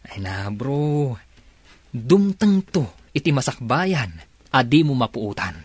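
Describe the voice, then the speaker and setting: A man's voice: medium pitch, sing-song, slow, husky, dry recording, full character voice. An actor playing a reassuring male buddy in a radio drama, with a Filipino Ilocano accent.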